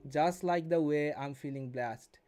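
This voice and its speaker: Higher-voiced young man over music. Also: measured pace, a little mellow. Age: 20s. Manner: repeats and rephrases, addresses viewers as folks. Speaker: day trading mentor and live stream host. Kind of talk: casual live stream commentary and teaching.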